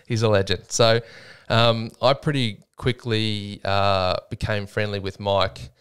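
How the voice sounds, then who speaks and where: A chatty Australian man in his thirties, recorded close on a studio microphone in a small podcast studio. His voice sits fairly low and comes through clear and smooth, and he laughs often and leans on fillers as he tells the story. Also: fairly even intonation, slow pace, fairly bright tone. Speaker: gym co-owner, guest. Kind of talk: casual storytelling interview. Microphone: studio mic with headphones.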